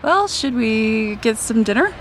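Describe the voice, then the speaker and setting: Giggly young romcom gal, teasing, highish voice, bit of traffic behind her. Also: expressive, measured pace, a bit bright. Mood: amused, excited. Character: playful, teasing young woman on a New York outing. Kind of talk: romantic comedy audio drama acting, conversational.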